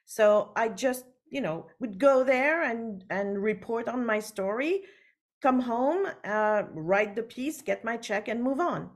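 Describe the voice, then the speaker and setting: A woman from Switzerland whose first language is French, an author talking over a video call from her book-lined study, unhurried and full of fillers. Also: fairly high pitch, lively intonation. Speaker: author guest. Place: home study with full bookshelves. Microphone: laptop webcam on video call.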